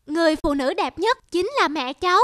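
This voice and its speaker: A woman's voice: extremely high pitch, brisk, a bit bright, dry recording, studio quality. A woman voicing an innocent, stubborn little child in a fairy-tale cartoon, guileless and earnest.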